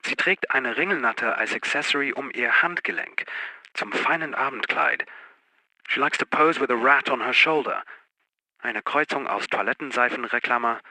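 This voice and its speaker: A male dossier narrator in a radio drama, with a very bright, forceful voice. His delivery is crisp and scripted, recorded close and dry. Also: mid-range voice, normal speed, no room sound, poor audio. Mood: savouring, ironic.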